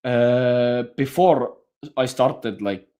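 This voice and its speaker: A man's voice: medium pitch, extremely slow, warm tone. A young man, hosting a livestream and telling his story in frank, casual talk that swears freely. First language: Estonian. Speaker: livestream host.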